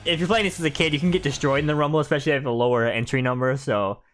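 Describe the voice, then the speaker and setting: A man's voice: mid-range voice, steady tone, rapid-fire, fairly bright tone, soft background music. A man giving casual, conversational gameplay commentary like a chatty fan. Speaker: video game commentator.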